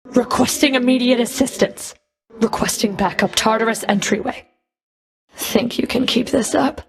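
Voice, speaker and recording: A woman playing a stern, scornful female Fury in a video game, curt, cool and commanding, with a breathy edge to her voice. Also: fairly high pitch. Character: stern, scornful female Fury, a whip-wielding underworld enforcer. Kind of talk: video game combat voice lines.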